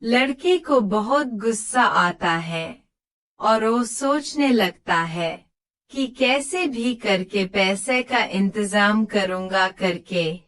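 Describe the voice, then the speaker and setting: A woman's voice: mid-range voice, animated, slow, fairly bright tone. A woman giving scripted narration for a children's moral story.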